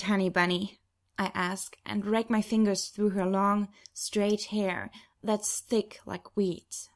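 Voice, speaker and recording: Audiobook narrator, woman, average pitch, expressive first-person storytelling from a script. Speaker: audiobook reader.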